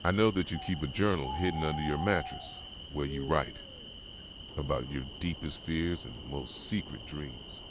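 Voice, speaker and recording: A male actor performs a young boyfriend with a very low, soft voice, obsessive and betrayed. He pleads with dramatic intensity in an ASMR roleplay. Loud night noise of crickets and owls sits behind him. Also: normal speed. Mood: possessive, insistent. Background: night ambience of crickets, owls and insects.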